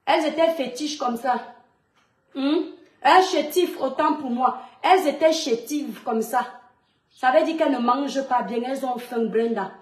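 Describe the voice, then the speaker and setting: Thirties woman with a Cameroonian French accent, high voice, scolding monologue, roomy echo. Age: 30s. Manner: scolding, lecturing, rhetorical questions. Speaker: mother addressing a public figure online. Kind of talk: monologue video rant.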